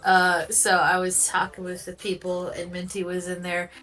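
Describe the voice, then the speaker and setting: A woman with a high-pitched voice, a tabletop game player who tells rambling stories. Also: completely flat delivery, normal speed, pushed and bright, recorded from a distance, faint music behind. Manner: rambling anecdote teller.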